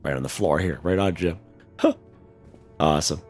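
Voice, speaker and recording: Hoarse, very deep-voiced man. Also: moderate pace, soft background music. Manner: chatty, frequent fillers and "okay?" check-ins. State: hoarse, losing his voice. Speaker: gaming channel host. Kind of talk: casual gameplay walkthrough commentary.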